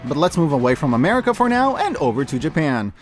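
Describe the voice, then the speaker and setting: Man, high-pitched voice, lively intonation, casual review commentary, sarcastic asides, emphatic, music in background. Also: fast talker. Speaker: video reviewer.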